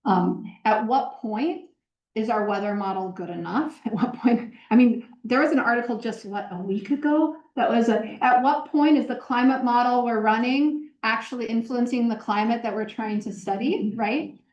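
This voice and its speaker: Energetic woman professor in a roomy hall. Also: on the high side, moderate pace, dull audio. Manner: energetic, explanatory, frequent "um" and self-corrections. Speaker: guest lecturer, professor. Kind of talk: lecture Q&A answers. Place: lecture hall. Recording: roomy lecture hall sound.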